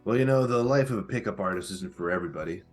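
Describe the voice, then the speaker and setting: Male comedy guest, fairly low voice, deadpan and halting banter, soft music present. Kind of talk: casual comic banter.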